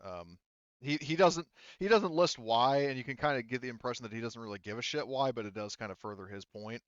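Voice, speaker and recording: Smooth-voiced man narrating as game master. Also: mid-range voice, expressive, fairly quick, no echo, dull audio. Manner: conversational, paraphrasing as he goes. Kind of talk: casual tabletop game narration.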